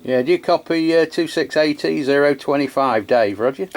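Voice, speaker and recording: A man's voice: a little high, normal speed, a little hiss or hum. A man working as a radio operator, making on-air CB test calls.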